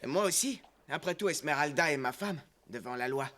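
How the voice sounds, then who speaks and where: A man's voice: on the high side, lively intonation, a little fast, sharp tone, a little hiss or hum. A man playing a comic, anxious, self-concerned husband in a French cartoon dub, fussy and protesting. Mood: wary.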